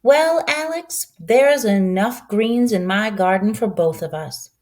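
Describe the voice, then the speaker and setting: Storybook role: kindly old country woman, voiced by a female reader, proud, folksy, leisurely pace, expressive pitch. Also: takes it slowly. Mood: warm, welcoming.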